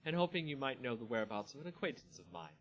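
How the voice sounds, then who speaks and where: A man voicing a cool, scheming female necromancer, speaking in a dry, measured and courteous way in a fantasy story reading. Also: medium pitch, sing-song, a bit bright, soft background music. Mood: coolly polite.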